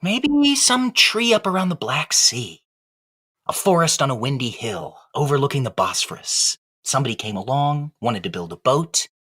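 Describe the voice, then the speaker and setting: A wistful, philosophical ventriloquist dummy in a comic audio play. The voice is husky and swings widely in pitch. He muses plaintively, like a dreamer. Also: big pitch swings, normal speed, bright, forceful tone, full character voice.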